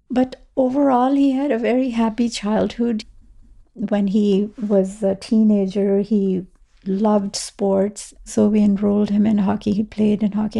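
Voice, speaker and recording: A woman's voice: medium pitch, gravelly, warm tone. A woman in her seventies from Kashmir, telling her life story in an interview, reflective, with fillers and restarts. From Kashmir, India. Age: 70s. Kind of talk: personal storytelling.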